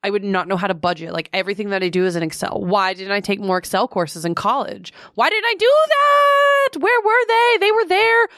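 A young woman co-hosting a podcast in a studio, chatting casually and getting animated, her pitch jumping up when she gets worked up. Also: brisk, hardly any pauses, no echo. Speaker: podcast co-host. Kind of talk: casual chat.

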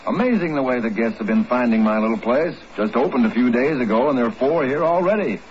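A man's voice: medium pitch, expressive, fast talker, a little mellow, natural voice. Innkeeper, male actor: talkative, proud salesman type, medium voice, 1950s radio play with faint noise. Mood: genial. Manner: chatty, salesmanlike. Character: genial, boastful male innkeeper proud of his cooking. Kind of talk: 1950s radio drama acting. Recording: dull old radio recording, faint noise.

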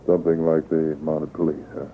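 Deep-voiced, steady radio-drama Mountie. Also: male voice, moderate pace, breathy edge. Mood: genial. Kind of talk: 1940s-50s radio drama acting. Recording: tinny, noisy vintage radio recording.

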